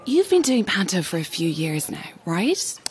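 An actress playing a curious, cheeky young Irish chorus girl who is easily spooked, with a breathy voice, in an audio drama. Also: lowish voice, very animated, moderate pace, background noise. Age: young adult.

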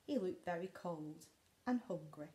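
A woman reads a children's story aloud, taking her time. Her slightly low voice swings widely in pitch, and she plays up the drama with hushed, whispered passages. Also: animated, measured pace. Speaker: school storyteller. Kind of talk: expressive picture-book read-aloud to young children. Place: living room indoors by a window.

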